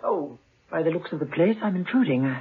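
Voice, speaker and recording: A woman's voice: sits low, animated, a little fast, husky, exaggerated voice. An actress playing a coolly persuasive female fundraiser in a 1970s radio drama, polite but pushy. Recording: tinny old radio broadcast.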